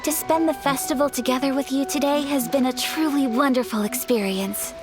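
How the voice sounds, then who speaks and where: A woman's voice: high voice, steady tone, a little fast, natural voice. A woman voicing a refined, gracious young noblewoman who speaks in formal, courteous phrasing. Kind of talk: game dialogue. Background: game music.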